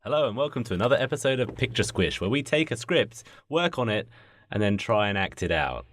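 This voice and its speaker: A man's voice: lowish voice, big pitch swings, fairly quick, a bit bright, dry recording. A man hosting a podcast, chatting casually and jokingly as he brainstorms with others. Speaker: podcast host. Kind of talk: casual comedic brainstorming chat.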